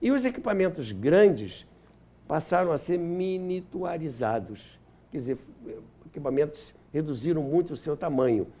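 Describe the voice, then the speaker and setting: A man's voice: fairly high pitch, very animated, moderate pace, dark tone. An older man with a Brazilian accent telling anecdotes and explaining history as an interview guest, repeating phrases for emphasis.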